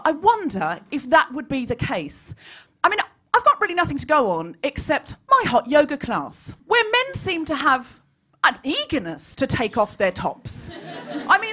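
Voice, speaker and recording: A woman comedian with a high-pitched, swooping voice performs to a live audience. She is animated and mock-serious, playing up every point as a podcast host. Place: small live comedy venue. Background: audience laughter.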